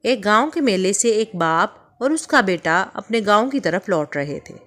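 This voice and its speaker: A woman telling a moral story for children in calm, measured scripted narration. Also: medium pitch.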